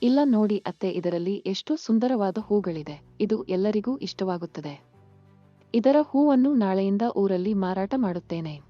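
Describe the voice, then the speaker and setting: A woman voicing an earnest, hopeful young village daughter-in-law in an animated moral story, polite and pleading. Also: medium pitch, normal speed, no room sound, soft background music, close to an everyday voice. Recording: muffled lo-fi sound.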